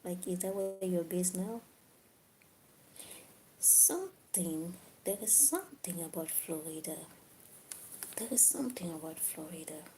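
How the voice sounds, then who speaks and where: A woman's voice: fairly low pitch, animated, a little slow, long pauses, mellow, slight background noise, rough recording. A woman from Cameroon chatting casually with viewers on a livestream, musing aloud and often dropping to a whisper. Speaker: livestream host.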